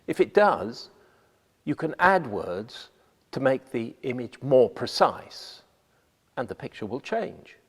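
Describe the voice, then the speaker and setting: Slow-paced man lecturing in a reverberant space. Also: medium pitch, very animated, slightly rough. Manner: measured, deliberate, emphatic phrasing. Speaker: radio playwright giving writing advice. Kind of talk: scripted instructional narration.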